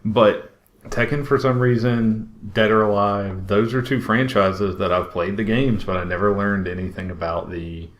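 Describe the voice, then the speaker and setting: A man's voice: fairly low pitch, steady tone, moderate pace, a little hiss or hum. A man co-hosting a podcast, chatting casually about his interests. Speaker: podcast co-host. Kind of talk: casual podcast chat about films and games. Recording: roomy, echoey room sound.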